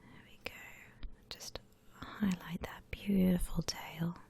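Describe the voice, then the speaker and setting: A woman whispering breathily and gently as she hosts an unhurried ASMR art tutorial. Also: lowish voice, fairly even intonation, gravelly. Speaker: host of an ASMR drawing channel. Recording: faint background noise.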